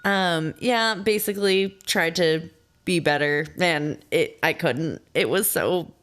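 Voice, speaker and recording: A woman's voice: lowish voice, slow pace, a bit bright. A woman co-hosting a podcast, chatting casually and candidly with plenty of swearing. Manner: candid, foul-mouthed. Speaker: podcast co-host. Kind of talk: casual banter.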